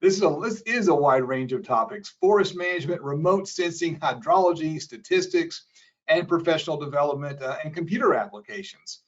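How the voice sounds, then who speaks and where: A man's voice: on the high side, a little fast. A man moderating a webinar, easygoing and stumbling over a few phrases as he introduces the speaker. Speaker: moderator, associate professor. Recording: dull lo-fi webinar audio.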